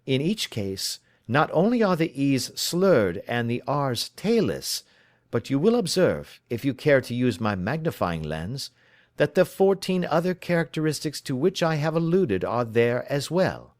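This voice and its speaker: A man reading the part of a calm, sharp-witted male detective, suave and precise as he lays out his reasoning. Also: mid-range voice, a little slow, no room sound, clean studio sound. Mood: explaining. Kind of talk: audiobook reading.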